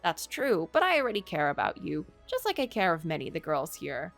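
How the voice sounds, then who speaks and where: A woman voicing a hearty older woman head cook from a visual novel, brisk, blunt and motherly. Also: on the low side, huge pitch swings, gravelly, faint music behind, pitched below the performer's own voice, full character voice. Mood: warm, earnest. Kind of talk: visual novel character read aloud.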